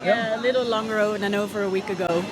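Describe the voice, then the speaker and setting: A woman's voice: mid-range voice, steady tone, fast, a crowd in the background, low-quality recording. A young woman from the Netherlands, a tourist, chatting in an upbeat way in a street interview. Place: busy city street outdoors. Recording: crowded street background.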